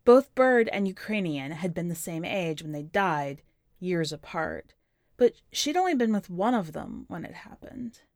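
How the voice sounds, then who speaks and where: A woman's voice: lowish voice, big pitch swings, unhurried, darkish tone, no room sound. Woman reading fiction, close dry sound. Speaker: podcast host reading a short story. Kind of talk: scripted literary narration.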